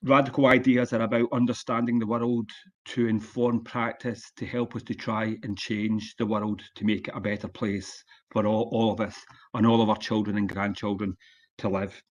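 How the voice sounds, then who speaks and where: A Scottish man in his sixties giving an online conference lecture as a guest speaker, plain-spoken and relaxed, with frequent ums and uhs. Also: lowish voice, moderate pace. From Scotland. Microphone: video call.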